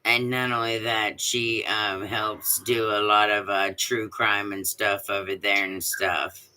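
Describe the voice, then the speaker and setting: A woman with a deep voice chatting casually on a live stream, rambling with many fillers and restarts. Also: level tone, slow, pushed and bright, rough recording. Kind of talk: casual chat.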